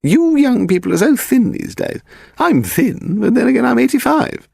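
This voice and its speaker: Bloke doing posh english old dowager in her eighties, grand and withering, high wildly swooping voice, creaky, audiobook. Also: very animated, moderate pace, a little mellow, no echo, clean studio sound, full character voice. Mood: pedantic, haughty. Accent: upper-class English. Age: 80s. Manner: pedantic, grand, derisive, demanding. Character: imperious frail aristocratic old lady of eighty-five, malicious.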